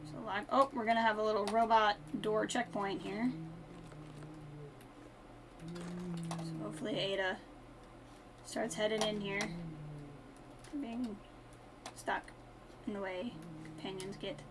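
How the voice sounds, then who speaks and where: A woman's voice: medium pitch, takes it slowly, pauses often, background noise. A woman live streaming a game with casual commentary, talking to herself in short, playful asides. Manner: talks to self in short asides, playful wording.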